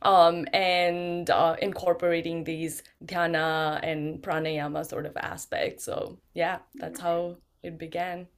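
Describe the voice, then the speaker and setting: A woman from Nepal, a professor and yoga teacher, talking thoughtfully as an interview guest and often pausing to search for words. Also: fairly low pitch, little pitch movement, clean tone. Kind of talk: casual interview conversation.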